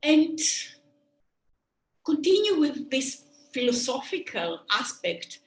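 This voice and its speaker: A woman's voice: sits high, very animated, slow. Greek-accented woman giving an echoey webinar talk. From Greece. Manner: earnest, thoughtful, many "um"s and restarts. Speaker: sports medicine doctor presenting a webinar. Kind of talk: webinar presentation.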